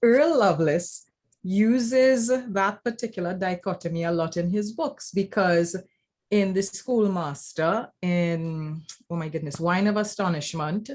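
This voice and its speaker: Low-pitched Trinidadian woman, reflective speaker. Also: a little slow, a little mellow. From Trinidad and Tobago. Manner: reflective, explanatory, checks with "right?". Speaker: academic writing lecturer, panel speaker. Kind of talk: informal roundtable presentation.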